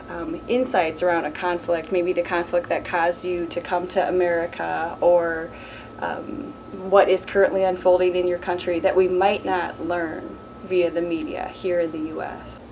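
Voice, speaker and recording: A woman in her thirties moderating a roundtable discussion, phrasing things formally and carefully in a conference room. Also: lowish voice. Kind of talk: measured panel moderation. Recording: distant mic, hissy room ambience.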